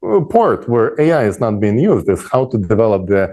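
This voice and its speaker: A man speaking as a panelist in an earnest discussion, explaining in a halting way with repeated words and rhetorical questions. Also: on the low side, very animated, dark tone. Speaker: panel speaker on an online forum show.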